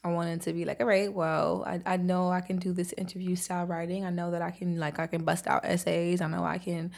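A woman's voice: fairly low pitch, level tone, a little fast, gravelly, a little mellow. A young woman with a Brooklyn accent, chatting casually as a guest and laughing often. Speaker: interview guest, author and poet. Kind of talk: casual conversation.

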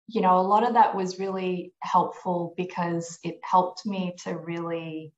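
A woman with a lowish voice talks through her answers carefully, pausing on ums and restarting her sentences. The sound is muffled and lo-fi. It has the echo of a bare room. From grew up in Malaysia, US and New Zealand. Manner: thoughtful, many ums and restarts. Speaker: podcast guest, tax executive. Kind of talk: conversational interview answers.

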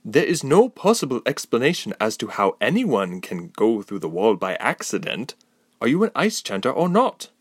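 A man's voice: medium pitch, huge pitch swings, moderate pace, breathy edge, no room sound, studio quality. A man voicing a stern, suspicious female warrior on a council who speaks sharply and accusingly.

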